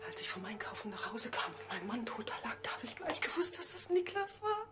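Doting fragile mom in a tv crime drama, highish voice, echoey room. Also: female voice, very animated, a little fast, loud music behind the voice. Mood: tearful, bitter. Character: doting, fragile mother of a grown son.

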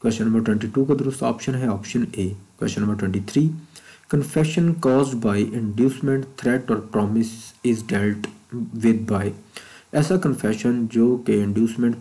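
A man's voice: fairly low pitch, mellow, rough recording. A man with a Pakistani accent, an instructor reading out quiz questions and explaining the answers in a mix of Urdu and English. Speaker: law test-prep instructor.

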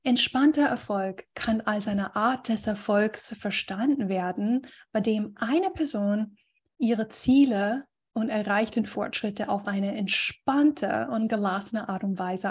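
A woman coach giving a relaxed talk to a group on a video call, pausing often with fillers. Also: mid-range voice, slightly rough. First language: German. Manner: thoughtful, many fillers, reads a definition aloud. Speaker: guest speaker and coach.

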